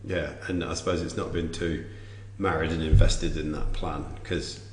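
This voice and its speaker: A man's voice: very deep voice, steady tone, moderate pace, darkish tone. A man hosting a casual, reflective interview, thinking aloud as he phrases his questions. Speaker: podcast interviewer and host. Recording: echoey room, faint background hum.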